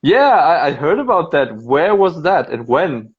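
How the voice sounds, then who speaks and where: A man's voice: fairly high pitch, big pitch swings, measured pace, dull audio. A man with a German accent, hosting a casual livestream interview, chatty and laughing often with many fillers. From half German, half Japanese. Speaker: label host. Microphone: phone livestream.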